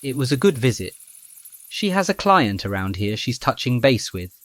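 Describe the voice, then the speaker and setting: Man playing a measured, bookish young roommate. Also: mid-range voice, sing-song, fairly bright tone, no echo. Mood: friendly, thoughtful. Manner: measured, slightly formal phrasing. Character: blond young man, tidy bookish early-rising roommate, gently teasing. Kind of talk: audio drama acting.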